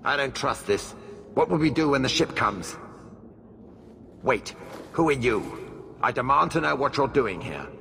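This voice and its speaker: A sneering noble whose gravelly voice turns whiny when cornered. His lines ring with a long reverb. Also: mid-range voice, expressive, unhurried, pauses often, pushed and bright, full character voice. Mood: suspicious. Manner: haughty, whining, scornful. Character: sneering cowardly male noble in hiding.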